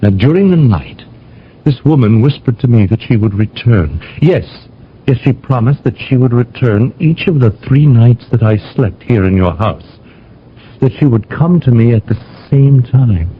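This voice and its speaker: Actor playing an impassioned young lover, swooping voice. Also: male voice, mid-range voice, lively intonation, moderate pace, a little hiss or hum, close to an everyday voice. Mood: flustered pleading. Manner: impassioned, theatrical, stammering. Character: agitated young lover insisting his night visitor lives. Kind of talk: old-time radio drama acting and narration. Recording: dull radio drama recording with music.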